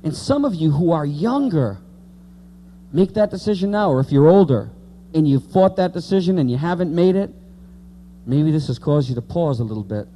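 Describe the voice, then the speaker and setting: A man's voice: on the high side, expressive, moderate pace, dark tone, a little hiss or hum. A man in his late thirties preaching an informal evangelical sermon, repeating key words for emphasis and speaking conversationally to the congregation. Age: late 30s.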